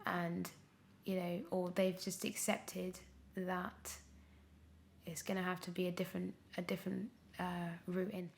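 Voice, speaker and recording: A woman in her twenties chatting casually as an interview guest, candid and animated, often correcting herself mid-thought. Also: lowish voice, measured pace, gravelly, slight background noise. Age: 20s. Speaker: guest, young actor.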